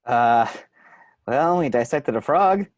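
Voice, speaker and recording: A woman's voice: rumbling low, lively intonation, slow pace, darkish tone, full character voice. A woman playing a defiant, competitive superpowered schoolboy in a script table read, with an indignant, argumentative manner. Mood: cheeky, defensive.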